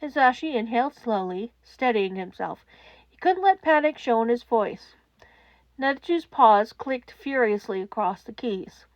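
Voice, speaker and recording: A woman narrates fiction in a high voice. Her scripted reading is dramatic, with lively intonation. The sound is dry and close. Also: a little slow, no room sound, highs cut off. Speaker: podfic narrator.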